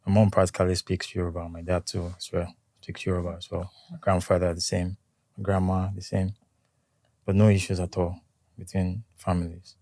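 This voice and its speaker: A young Nigerian man with a Nigerian accent and a low, warm voice. He speaks calmly and in a measured way as an interview guest. The recording is dry. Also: no room sound. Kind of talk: conversational interview.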